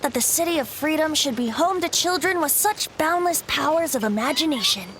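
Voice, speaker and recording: A woman's voice: high-pitched, lively intonation, fairly quick, a bit bright, a little hiss or hum. A woman voicing a playful, whimsical young male bard in a video game, breezy and teasing. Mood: musing. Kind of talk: video game character acting. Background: background music.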